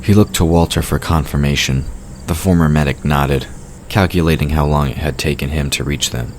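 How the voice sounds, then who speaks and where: Very deep-voiced male fantasy narrator. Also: brisk, a little mellow. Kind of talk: scripted fantasy audio drama narration. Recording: clearly noisy background ambience. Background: outdoor ambience sound effects.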